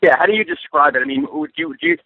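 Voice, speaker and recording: A man's voice: on the high side, fast, no echo. Male reporter on narrow phone line. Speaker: sports journalist. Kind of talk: asking press conference questions. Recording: dry, narrow telephone line.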